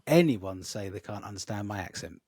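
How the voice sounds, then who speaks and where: A man from England with a British accent, co-hosting a casual podcast chat, animated and jokey. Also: lowish voice, brisk, breathy edge, no room sound, clean studio sound. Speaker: podcast co-host.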